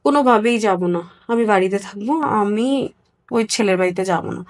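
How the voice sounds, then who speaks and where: A woman from Bangladesh giving a personal account as an interview guest, candid and somewhat halting. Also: medium pitch.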